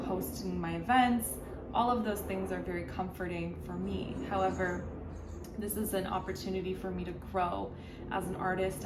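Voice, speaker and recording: A woman from California talking earnestly and reflectively in a casual personal vlog, with a gentle motivational tone. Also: fairly low pitch, background noise. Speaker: vlogger. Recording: roomy sound from a distance.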